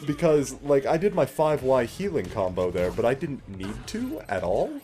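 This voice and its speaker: A man with a mellow, soft-edged voice hosts a casual let's play. He explains what he is doing with a dry, wry touch, while music plays faintly behind him. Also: mid-range voice, sing-song, moderate pace. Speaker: let's play host playing the game. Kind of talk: casual game commentary.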